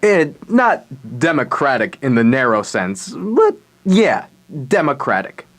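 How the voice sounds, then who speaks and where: Candid, animated 1930s theater man played by a man. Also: medium pitch, a little slow. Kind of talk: staged radio theater reading.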